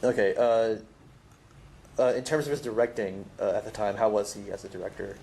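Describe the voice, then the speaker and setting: A man's voice: fairly low pitch, steady tone, a little fast, gravelly, a little mellow, slight background noise. A man playing an awkward young amateur interviewer in found footage, asking probing questions in a tentative, stammering, repetitive way. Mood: awkward, businesslike.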